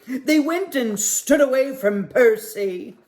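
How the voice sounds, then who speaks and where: A man reading a picture book aloud at a children's story time, with a gentle, expressive manner. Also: extremely high pitch, slow pace.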